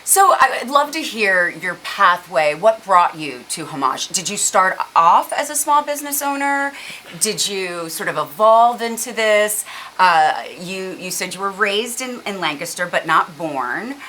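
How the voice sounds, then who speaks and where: A woman's voice: medium pitch, lively intonation, a little slow, sharp tone, slight background noise. A woman hosting a local TV interview show, chatty and encouraging with her questions. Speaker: TV show host. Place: local TV studio.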